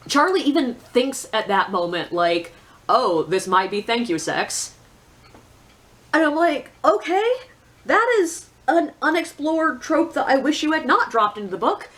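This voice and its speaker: A woman with a fairly high voice talks casually, as in a video book review. She is blunt and sardonic, and she punctuates her opinions with emphatic, conversational asides. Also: a bit bright.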